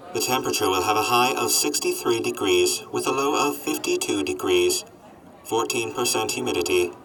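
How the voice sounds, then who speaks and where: An actor voicing a gloomy, miserable male robot in a radio drama, speaking in a flat machine monotone. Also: fairly low pitch, distant mic, rough recording.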